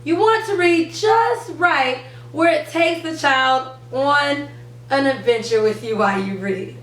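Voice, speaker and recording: A woman's voice: high voice, a little slow, a bit bright. A young woman presenting a how-to lesson as a reading teacher, explaining step by step and stressing key words. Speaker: reading teacher and channel host. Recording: roomy, distant mic, faint noise.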